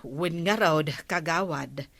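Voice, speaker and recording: A woman's voice: low voice, slow, gravelly, fairly bright tone, full character voice. A woman with an Ilocano Filipino accent acting a chatty, sympathetic village woman in a radio comedy-drama. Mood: chatty, musing.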